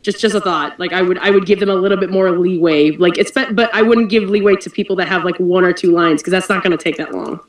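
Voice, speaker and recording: A woman's voice: lowish voice, fast, few pauses. A woman making suggestions in a casual online group discussion. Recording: distant echoey voice call.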